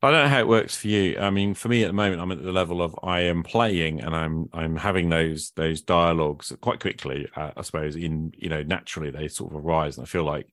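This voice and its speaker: Low-voiced man hosting, dry close sound. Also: brisk, hardly any pauses, fairly bright tone, dry recording. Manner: reflective, meandering questions with brief listening murmurs. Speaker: podcast host. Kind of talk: conversational interview.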